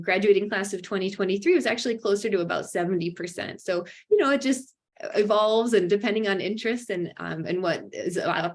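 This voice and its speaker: A woman hosting an online information session, talking in an upbeat way with many fillers and bright emphatic rises. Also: mid-range voice. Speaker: webinar host for a graduate program.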